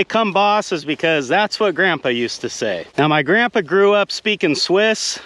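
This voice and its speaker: A man's voice: fairly high pitch, pushed and bright, dry recording. A young man filming his farm vlog, talking casually through the work and thinking aloud as he goes. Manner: easygoing, thinks aloud and corrects himself. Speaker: farmer and video host. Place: outdoors and in a hay barn on a farm.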